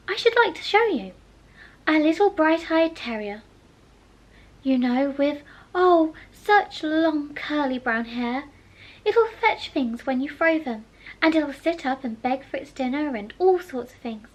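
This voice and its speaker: A woman's voice: extremely high pitch, lively intonation, normal speed, fairly bright tone, pitched above the performer's own voice. A woman as a polite, chattering young girl. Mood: eager. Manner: eager, chattering.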